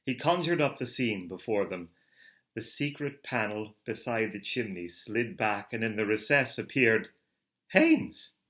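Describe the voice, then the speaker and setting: A man, a theater director, reading a classic novel aloud with an expressive, theatrical delivery. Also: lowish voice, a little slow. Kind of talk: dramatic literary reading.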